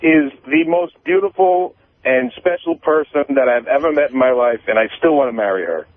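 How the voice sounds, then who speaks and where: A man calling in to a radio show, chatting in a halting, repetitive, defensive way with plenty of swearing. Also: on the high side, lively intonation, no echo. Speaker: show caller. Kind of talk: casual confessional phone-in chat. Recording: tinny band-limited phone line.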